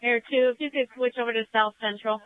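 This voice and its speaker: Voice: medium pitch, fairly even intonation, fast talker, clean tone, no echo. A police dispatcher speaks over a thin, phone-like radio channel. The sound is dry and closed in, in the clipped procedural style of emergency dispatch. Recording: tinny, narrow-band police radio transmission.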